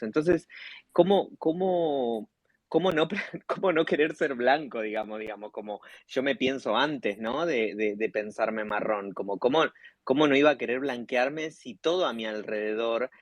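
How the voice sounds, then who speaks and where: Low-voiced Argentine man, halting delivery. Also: dry recording. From Salta, northern Argentina. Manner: halting, searching for words, often repeats words. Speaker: panel guest, actor. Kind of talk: personal testimony in a live audio discussion.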